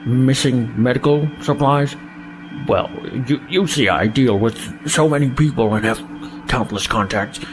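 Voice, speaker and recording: A man's voice: mid-range voice, unhurried, music in the background, natural voice, poor audio. A man playing a flustered, weaselly alien trader who stammers and blusters in a sci-fi audio drama. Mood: flustered, evasive.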